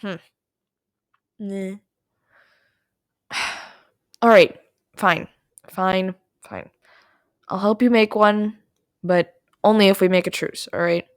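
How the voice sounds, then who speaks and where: A woman's voice: medium pitch, fairly even intonation, slow, pauses often, dry recording, studio quality, close to an everyday voice. A woman voicing a mischievous male trickster witch who teaches potion-making in an audio roleplay. The witch snickers, teases and stumbles over hesitant fillers. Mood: defensive, grudging. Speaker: potion tutor.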